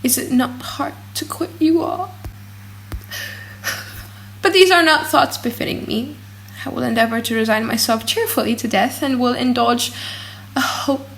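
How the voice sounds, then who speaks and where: A woman voicing a gentle, resigned mother on her deathbed in an audiobook, softly and falteringly. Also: a little high, moderate pace, vocal fry, pauses often. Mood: resigned, fading. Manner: soft, faltering deathbed delivery. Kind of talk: dramatic audiobook acting.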